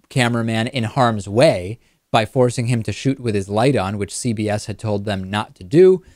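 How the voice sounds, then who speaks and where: Man, mid-pitched voice, talk show host, conversational commentary, very dry studio sound. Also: a little mellow, dry recording.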